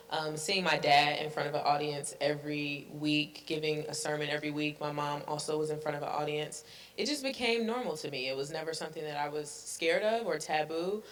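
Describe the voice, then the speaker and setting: A woman from Chicago, a podcast guest, talking with calm confidence. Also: deep voice, far from the mic, slight background noise, poor audio. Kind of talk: casual conversation.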